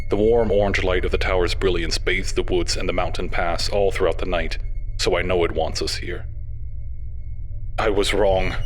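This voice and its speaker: A man playing an explorer obsessed with an eerie discovery, reading out a journal in a measured, solemn, dramatic way. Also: low-pitched, close to an everyday voice, low-quality recording. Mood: haunted, fearful. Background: background music.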